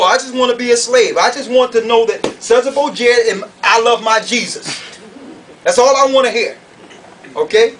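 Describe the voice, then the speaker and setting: A man's voice: high voice, a bit bright. A man preaching a fiery doctrinal sermon to an audience, emphatic and confrontational, firing off rhetorical questions. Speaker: preacher, conference speaker. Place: conference room or hall. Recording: distant mic, noisy room ambience.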